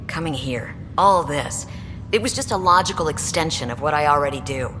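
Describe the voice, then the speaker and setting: A man's voice: fairly high pitch, lively intonation, moderate pace, natural voice. A man playing an eager, proud explorer who loves the job, in a video game. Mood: wistful, eager. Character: enthusiastic explorer, proud and wistful. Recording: reverberant hall with clear noise.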